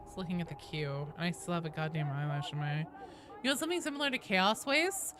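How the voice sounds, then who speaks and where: A woman live streaming a game, chatting casually and excitably with her viewers and swearing freely, with game sound behind her. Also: deep voice, fast, noisy background. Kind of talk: casual live stream chat with gameplay reactions.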